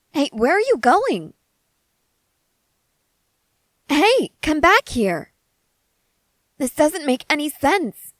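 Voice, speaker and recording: A woman's voice: fairly high pitch, no room sound. A woman playing an eager, intensely curious researcher-soldier who rambles in precise, analytical sentences in a scripted ASMR roleplay. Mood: alarmed, pleading.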